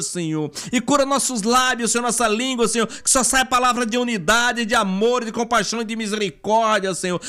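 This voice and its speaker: High-pitched Brazilian man praying fervently. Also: bright, forceful tone, clean tone. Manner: fervent, pleading, repetitive invocations. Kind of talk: extemporaneous spoken prayer.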